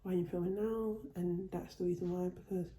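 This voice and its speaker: A woman's voice: on the low side, steady tone, a little fast, warm tone, reverberant, a little hiss or hum. A woman showing off her shopping haul in a casual home vlog, her voice still a bit off from a cold. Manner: chatty, repeats and restarts phrases. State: recovering from a cold. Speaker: vlogger. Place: at home, indoors.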